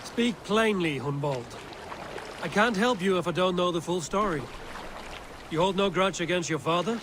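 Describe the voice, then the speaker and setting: A man's voice: high voice, moderate pace, background noise, natural voice. A man playing a blunt, practical adult Viking warrior in a video game. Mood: questioning, skeptical. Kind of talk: video game cutscene acting.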